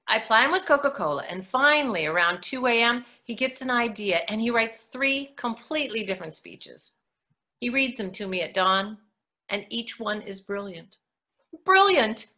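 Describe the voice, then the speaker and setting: A woman's voice: fairly high pitch, expressive, normal speed, pushed and bright. A woman acting a proud, earnest mother, telling a story in a readers theatre monologue. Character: proud, earnest mother recounting her son's speech.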